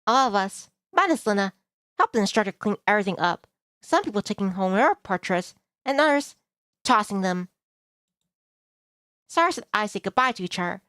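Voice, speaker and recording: A woman's voice: medium pitch, animated, moderate pace, pauses often, fairly bright tone, no echo, studio quality. A woman streamer reading a visual novel aloud, mixing narration with casual asides. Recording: music behind the voice.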